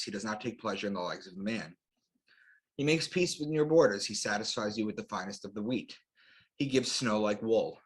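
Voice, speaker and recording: A man's voice: on the low side, a bit bright, low-quality recording. A man giving an explanatory lecture as an interview guest, in short declarative phrases with rhetorical questions. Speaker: guest author and astrotheologist.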